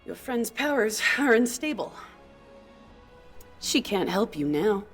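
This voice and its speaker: A woman's voice: on the high side, very animated, slow pace, soft background music. A woman playing a contemptuous, menacing supervillain in an audio drama, taunting and condescending. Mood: scornful. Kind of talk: scripted audio drama acting.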